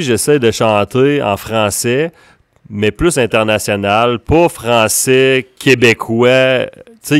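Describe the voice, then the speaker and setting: A man's voice: medium pitch, dry recording, clean studio sound. A man from Ontario with a Franco-Ontarian French accent, a guest musician chatting casually and rambling with many restarts. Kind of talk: casual conversation.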